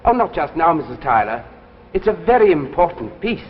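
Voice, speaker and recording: A muffled 1950s broadcast drama with music behind it. a suave, sinister man who speaks in a knowing, theatrical manner, slightly high in pitch and swinging dramatically up and down. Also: big pitch swings, fairly quick, slight background noise. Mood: suave, self-satisfied. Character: suave, sinister male dealer in fateful stories. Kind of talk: 1950s anthology drama acting.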